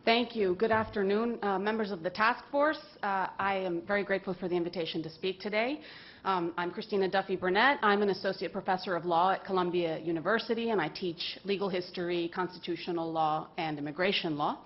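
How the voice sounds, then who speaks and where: A woman's voice: mid-range voice, fairly even intonation, a little fast, highs cut off. A woman from Puerto Rico, a law professor, opening formal testimony politely and composedly, with frequent fillers.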